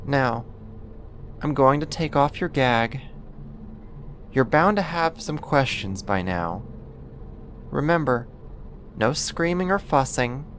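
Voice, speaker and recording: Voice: mid-range voice, lively intonation, slight background noise. A performer playing a possessive yandere neighbor who kidnaps, sweet yet menacing, in an intimate, coaxing ASMR roleplay monologue. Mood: possessive, smug. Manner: coaxing, slow, deliberate, condescending endearments.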